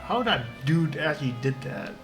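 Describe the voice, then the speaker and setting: A man's voice: fairly high pitch, big pitch swings, normal speed. A man casually reacting as he plays a video game, in short offhand comments. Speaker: game streamer. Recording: game music behind the voice.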